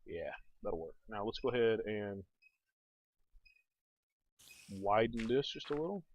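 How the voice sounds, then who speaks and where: A man's voice: on the low side, lively intonation, measured pace. A man giving casual gameplay commentary as a let's play host, thinking aloud and talking to viewers. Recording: dull, noisy home recording.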